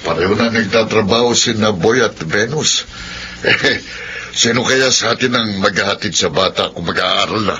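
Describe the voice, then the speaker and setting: A man's voice: on the low side, normal speed, bright, forceful tone. A man playing an easygoing, reasonable grandfather with a Filipino accent in a radio drama. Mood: genial. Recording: dull radio audio with faint noise.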